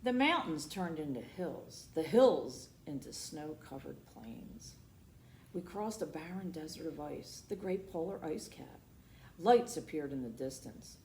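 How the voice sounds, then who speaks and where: A woman hosting a storytime, reading aloud expressively for children and dropping in asides, moving between hushed and emphatic delivery. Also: low voice, animated, unhurried. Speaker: library storytime host. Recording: roomy echo with faint background noise.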